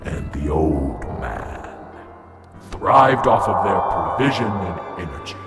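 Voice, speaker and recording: A man's voice: deep voice, sing-song, slow pace, heavily acted. A man voicing a sinister male tormentor in a nightmare, mocking and gloating, slipping into hissed whispers, in a student radio drama. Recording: echoey with loud music behind.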